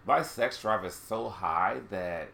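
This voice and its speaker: A man's voice: low voice, takes it slowly, vocal fry, sharp tone. A man of about forty co-hosting a candid chat, rambling and losing his thread. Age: 40s. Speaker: podcast co-host.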